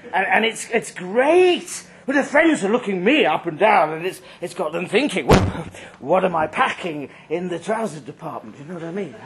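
A man acts out a drunk, mean banker in a live story reading, boasting crudely in a high voice. He draws his words out slowly and swings widely in pitch as he rambles and taunts. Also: fairly bright tone, full character voice. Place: live reading at a London venue. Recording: live event recording, faint background noise.

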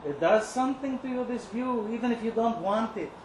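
A man's voice: very high voice, fairly quick, voice pushed up. Roomy noisy live recording, man performing a bitter lovesick young worker, sardonic, brooding, dramatic storytelling. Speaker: reader at a live literary event. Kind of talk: dramatic first-person short story reading. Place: indoor live reading venue. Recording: roomy live event recording, clear background noise.

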